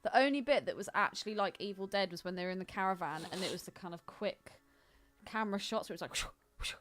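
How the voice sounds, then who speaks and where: A woman's voice: mid-range voice, quick pace, noisy background. A woman co-hosting a podcast in casual film chat, with a blunt, sweary, opinionated way of talking. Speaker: podcast co-host.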